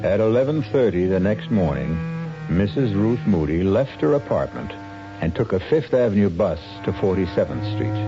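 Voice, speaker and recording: A man hosting and narrating a suspense radio drama in a measured, ominous storytelling manner. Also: deep voice. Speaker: host and narrator. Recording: dull lo-fi old radio recording. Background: music.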